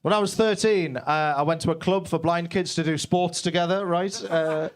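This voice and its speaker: A man's voice: a little high, fairly even intonation, normal speed. Brash man doing stand-up. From Newcastle, England. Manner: brash, self-deprecating, swears freely. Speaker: stand-up comedian. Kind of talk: stand-up comedy set. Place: basement comedy club.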